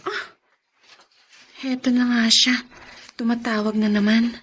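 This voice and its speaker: A woman's voice: on the high side, little pitch movement, a little slow, fairly bright tone, slight background noise. A woman playing a chatty, busy housewife fussing to herself in a Filipino radio drama. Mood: flustered, musing.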